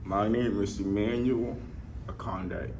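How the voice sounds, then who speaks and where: Slow, deep-voiced young man with speech impediment. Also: fairly even intonation, a little mellow, a little hiss or hum. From Nigeria. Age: 20s. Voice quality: speech impediment, imperfect articulation. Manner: halting, earnest, short broken phrases. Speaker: fitness enthusiast sharing life story. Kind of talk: personal testimony to camera.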